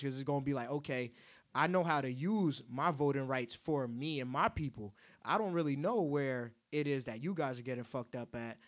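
A man co-hosting a casual conversation. His mid-range voice takes on a passionate, preaching tone as he presses his points. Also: mid-range voice. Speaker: co-host.

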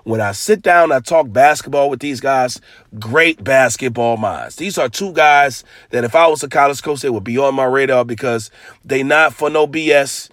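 A man with a cold-roughened, raspy voice hosting a casual basketball podcast, talking loose and hyped with plenty of slang. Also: medium pitch, normal speed, a bit bright, no room sound, clean studio sound. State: hoarse from a cold. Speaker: podcast host. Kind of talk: casual sports podcast talk.

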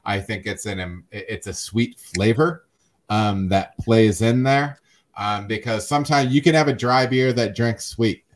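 Middle-aged male podcast host, lower voice, loose chatty delivery, restarts a lot. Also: measured pace. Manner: chatty, repeats words while thinking aloud. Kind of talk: casual chat.

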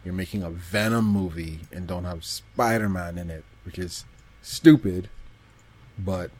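A man's voice: low-pitched, unhurried, a little mellow. A man co-hosting a podcast in loose, casual chat about pop culture. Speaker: podcast co-host.

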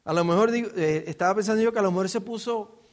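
Puerto rican guy preaching, pretty high voice, informal storyteller style, asks rhetorical questions. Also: fast. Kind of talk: expository sermon, conversational storytelling.

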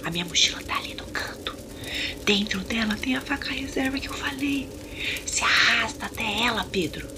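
A woman playing a defiant, resolute young captive in a Brazilian audio horror drama, giving urgent, often hushed orders. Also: on the high side, normal speed, whispered, lightly acted. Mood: hushed urgency.

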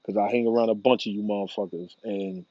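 A man's voice: lowish voice, steady tone, quick pace, dry recording. Chill guy rambling and cursing, dead dry room, kinda dull lo-fi audio. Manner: laid-back, rambling and profane, with slang. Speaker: vlogger. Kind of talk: casual monologue about smoking.

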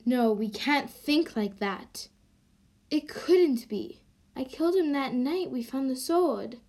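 Girl or woman playing a high, slow, alarmed parent. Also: lively intonation, darkish tone, full character voice. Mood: grave.

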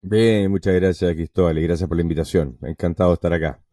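A man, a podcast guest telling his story in an emphatic, animated way. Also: low-pitched, fast talker, no room sound, clean studio sound. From Venezuela. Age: 50s. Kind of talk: casual interview talk.

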